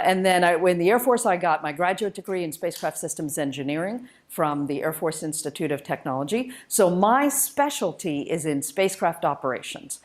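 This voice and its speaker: A woman's voice: lowish voice, a little mellow. A woman giving an informal public lecture, conversational, enthusiastic and emphatic as she shares personal stories as a guest speaker. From United States and Malaysia, born in India. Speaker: guest speaker, spacecraft engineer.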